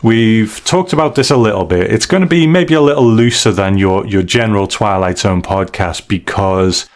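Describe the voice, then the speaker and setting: A man hosting a podcast, talking in a relaxed, conversational way with a guest. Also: fairly low pitch, normal speed. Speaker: podcast host.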